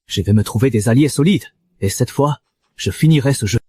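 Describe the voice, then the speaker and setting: A man playing a shrewd, commanding young gamer hero reborn, in a dubbed animated series, with a husky voice. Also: medium pitch, a little fast, close to an everyday voice. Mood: calm, determined.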